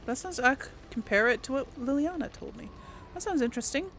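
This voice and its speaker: A woman doing casual let's play commentary, musing aloud in a halting way. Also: high-pitched, expressive, fairly bright tone, a little hiss or hum. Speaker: gaming channel host.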